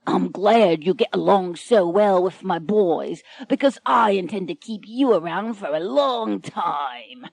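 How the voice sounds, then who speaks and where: A woman reads as a leering one-eyed pirate captain, a man who drags out his threats slowly and loudly. His voice sits a little higher than her usual narration. The recording is dry and close. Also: lively intonation, creaky, dry recording. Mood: menacing.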